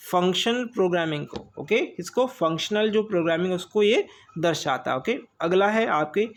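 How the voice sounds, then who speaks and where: A man with an Indian accent teaching an informal online quiz lesson, repeating key phrases and dropping in short check words. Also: high-pitched. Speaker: online exam-prep teacher. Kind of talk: informal lesson going through multiple-choice questions.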